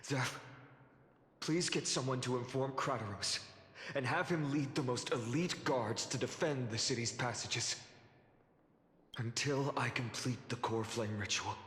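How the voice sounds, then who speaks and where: An actor playing a noble, protective lord and resolute leader in a fantasy game, speaking earnestly with measured, dramatic pauses. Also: male voice, mid-range voice, natural voice. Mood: urgent, commanding. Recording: echoey hall reverb under loud music.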